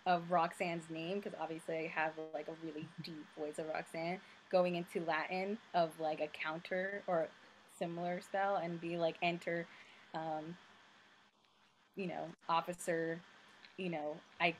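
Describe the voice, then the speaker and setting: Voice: mid-range voice, level tone, unhurried, a little hiss or hum, rough recording. A player in a tabletop role-playing game, describing a character's actions in a rambling way, full of "like" and "um". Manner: rambling, filler-heavy "like". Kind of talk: casual tabletop play.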